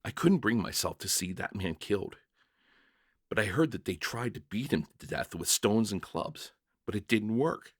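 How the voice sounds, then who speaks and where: Man acting an earnest, bewildered jailer, wildly expressive. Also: lowish voice, normal speed, slightly rough, no room sound, studio quality. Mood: reflective, moved. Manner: stammering, blurting, dramatic. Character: young-hearted jailer narrator, bewildered, earnest, emotional father. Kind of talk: first-person dramatic story reading.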